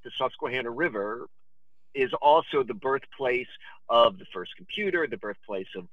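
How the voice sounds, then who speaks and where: A man in his fifties talking casually as a podcast guest, telling rambling stories in an excitable, digressive, emphatic manner. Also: mid-range voice, no echo, lo-fi sound. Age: 50s. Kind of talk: animated rambling storytelling and casual chat.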